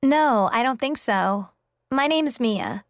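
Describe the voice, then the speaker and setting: A woman's voice: on the high side, lively intonation, takes it slowly, no echo, studio quality. A woman playing a polite, friendly woman in a scripted textbook dialogue.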